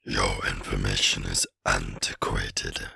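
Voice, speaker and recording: Wicked old dragon, raspy breathy growl, forceful and tense, storybook villain, gloating drawl. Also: male voice, extremely low pitch, level tone, no room sound, studio quality, pitched below the performer's own voice, full character voice. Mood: snappish. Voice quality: gravelly, raspy, menacing. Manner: slow, gloating, sneering drawl. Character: ancient huge boastful male dragon, wicked and wily. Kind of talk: audiobook character voice.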